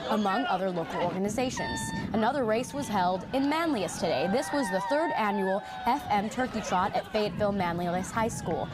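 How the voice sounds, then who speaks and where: A woman's voice: mid-range voice, noisy background. An American woman reading scripted television news as an anchor.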